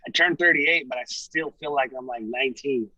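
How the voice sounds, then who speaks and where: A man's voice: mid-range voice, moderate pace, sharp tone, no echo, dull audio. A man in his late thirties, a wrestler guest on a podcast, chatting casually and laughing as he tells stories. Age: 30s. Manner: laid-back, laughing, profane. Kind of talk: casual chat.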